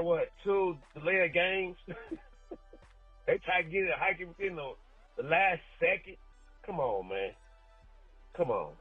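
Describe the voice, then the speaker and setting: A man in a casual, slangy sports rant on a voicemail, exclaiming and colloquial as an animated fan. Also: on the high side, breathy edge, dry recording. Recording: muffled voicemail, music behind.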